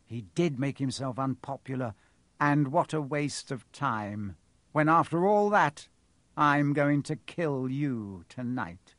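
A man's voice: mid-range voice, animated, dry recording. Man playing a cold, smug villain professor. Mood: gloating, mocking. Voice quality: cold, sharp. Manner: smug, mocking, unhurried. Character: cold, gloating male villain professor, coolly menacing.

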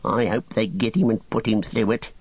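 A man's voice: fairly low pitch, fairly even intonation, normal speed, darkish tone, slightly rough, dry recording, muffled recording, voice pushed up. A man reading a story, voicing a small, quarrelsome male orc tracker who snarls and whines. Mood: taunting. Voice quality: snarling, nasal.

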